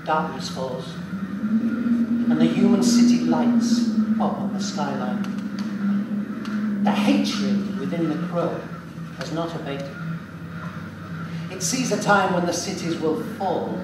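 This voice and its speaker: A man plays a grave, ominous storyteller delivering dark dramatic narration on stage. The voice is fairly high for a male and takes its time, with measured pauses. It comes from far off in a very reverberant, noisy live recording. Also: animated. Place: theatre stage, live performance.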